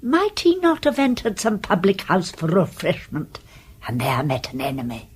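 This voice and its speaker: An actress playing a prim, precise and devoted English spinster who assists a detective, speaking formally in British radio drama style. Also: female voice, on the low side, huge pitch swings, normal speed, slightly rough, full character voice. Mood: earnest, speculative.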